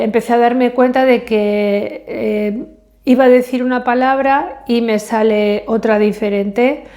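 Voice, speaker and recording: A woman's voice: a little high, dark tone. A middle-aged woman, English her first language, talking to the camera in a casual personal monologue, hesitant and full of fillers and self-corrections. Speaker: vlogger.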